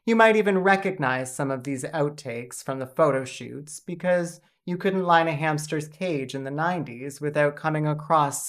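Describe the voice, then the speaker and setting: A woman hosting a video essay with scripted comedic narration, deadpan and sarcastic. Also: sits low.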